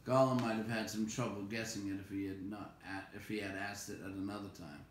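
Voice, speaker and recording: Low-voiced man reading a story, roomy echo. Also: steady tone, brisk. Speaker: storybook reader. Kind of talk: expressive read-aloud narration. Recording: echoey room with faint background noise.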